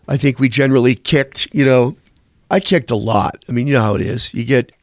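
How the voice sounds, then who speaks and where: Man, musician interviewee, candid storytelling, casual swearing, average pitch, dead dry sound. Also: brisk, dry recording. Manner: blunt, rambling, swears casually.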